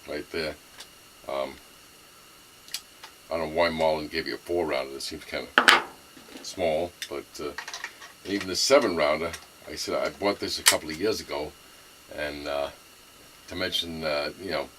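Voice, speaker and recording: A man's voice: a bass voice, fairly even intonation, moderate pace, pauses often, heavy background noise. A middle-aged man with a Brooklyn accent, rambling casually with lots of fillers and restarts as he shows off his rifle. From New York. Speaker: gun owner reviewing his rifle. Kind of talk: casual rambling show-and-tell.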